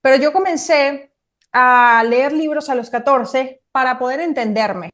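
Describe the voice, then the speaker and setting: Earnest Venezuelan woman, slightly high voice. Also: a little slow. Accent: Venezuelan Spanish. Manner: earnest, expressive, with many "wow"s. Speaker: guest, mentor and coach. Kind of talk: interview storytelling.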